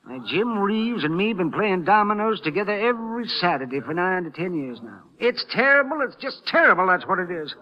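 A high, sharp-voiced country druggist, folksy and excitable. He talks emphatically, in old radio western style. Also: brisk, hardly any pauses, full character voice, low-quality recording. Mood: shocked, indignant. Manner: emphatic, garrulous, homespun. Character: excitable, folksy small-town druggist, older married man. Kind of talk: 1950s radio drama acting. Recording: vintage 1950s radio broadcast recording.

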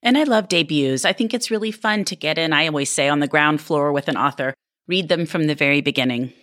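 A woman's voice: sits low, fairly quick, fairly bright tone, dry recording, clean studio sound. A woman hosting a podcast, speaking in a casual, conversational style. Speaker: podcast host. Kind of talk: casual podcast chat and sign-off.

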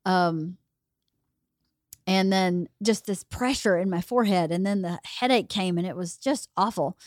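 Slightly hoarse woman podcast host, chatty. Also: fairly low pitch, measured pace, a little mellow, dry recording, studio quality. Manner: chatty, rambling storyteller. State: slightly hoarse, losing her voice. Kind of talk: casual chat.

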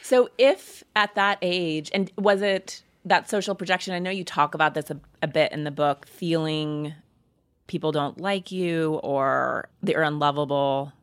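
Lady interviewer with a low voice, casual chat, rambly questions, really dry sound. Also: unhurried, clear, resonant tone, no echo. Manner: tentative, rambling phrasing with restarts.

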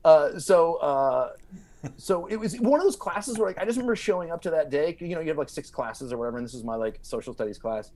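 An American man telling comedic stories casually, in an animated, digressive way, often interrupting himself. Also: on the high side, quick pace. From small-town United States. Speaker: podcast guest comedian.

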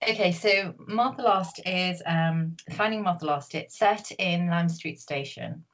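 A woman's voice: fairly low pitch, unhurried. A woman from Newcastle, an author guest talking casually in an interview, rambling with many fillers and restarts. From Newcastle, England.

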